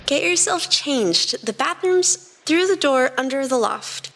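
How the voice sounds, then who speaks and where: A woman's voice: sits high, expressive, unhurried, a bit bright, voice pushed up, heavily acted. A woman voicing a caring, bossy young girl in an audiobook-style story, exclaiming and instructing with urgency.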